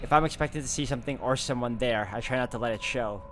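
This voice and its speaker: A man's voice: medium pitch, fast talker, a bit bright, a little hiss or hum. A man doing a let's play, reading visual novel narration aloud in a dramatic storytelling way with casual asides. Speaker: gaming YouTuber. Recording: game music behind the voice. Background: guitar game music.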